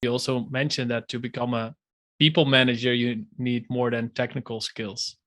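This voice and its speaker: A man's voice: mid-range voice, little pitch movement, moderate pace, fairly bright tone. A man hosting a podcast, reading the intro and asking questions in a friendly, upbeat way. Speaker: podcast host.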